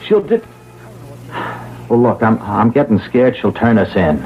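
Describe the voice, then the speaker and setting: An actor playing an anxious, wavering small-time crook in a classic radio drama, hesitant, stammering and pleading. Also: male voice, on the low side, very animated, measured pace. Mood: scared, pleading. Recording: dull lo-fi old radio recording.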